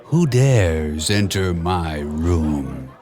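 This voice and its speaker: A man voicing a suave swashbuckling male cat swordsman with a Spanish accent, speaking low, slow and hissing. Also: big pitch swings, darkish tone, heavily acted. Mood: menacing.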